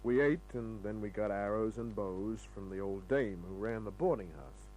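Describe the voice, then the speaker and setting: Low-voiced wisecracking radio detective played by a man. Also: normal speed, darkish tone, close to an everyday voice, low-quality recording. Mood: dry, narrating. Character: wisecracking male private detective, flirtatious, tough, easygoing. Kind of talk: old-time radio drama acting with first-person narration. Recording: old radio recording with hum.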